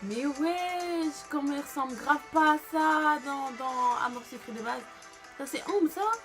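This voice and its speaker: A woman's voice: high-pitched, unhurried, fairly bright tone, soft background music. Young woman in casual, slangy reaction chat. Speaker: vlogger reacting to a cartoon.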